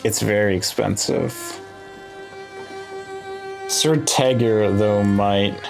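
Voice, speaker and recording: Slow-paced man narrating his gameplay. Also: lowish voice, gravelly. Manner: thinking aloud while deciding. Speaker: gaming video host. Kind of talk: casual game playthrough commentary. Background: game music.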